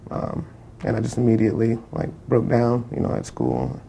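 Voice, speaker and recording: A man speaking as a family member in an interview, recalling events in a hesitant, halting way. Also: on the low side, very animated, creaky, mellow, slightly rough. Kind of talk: personal recollection in a media interview.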